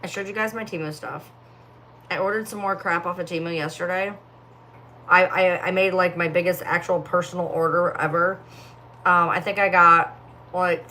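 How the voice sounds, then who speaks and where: A woman's voice: on the low side, sharp tone, slight background noise. A young woman chatting casually on a phone livestream, sleepy and yawning, with a distinctive voice and lots of filler words. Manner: rambling, heavy filler words. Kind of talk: casual live-stream chat reading comments.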